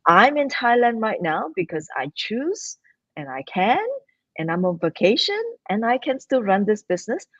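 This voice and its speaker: An upbeat, persuasive woman from Singapore talks in a live online interview. Her voice sits at an ordinary pitch, and the audio is dull, lo-fi and completely dry. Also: big pitch swings, moderate pace, no room sound. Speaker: business promoter.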